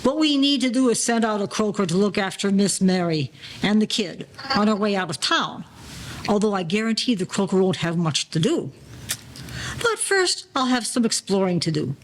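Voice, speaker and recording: A man's voice: high-pitched, expressive, moderate pace. Man playing a take-charge gangster, noisy recording. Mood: proud, bossy. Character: gruff, slangy 1940s gangster acting as a midwife, confident. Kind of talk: old-time radio crime drama acting.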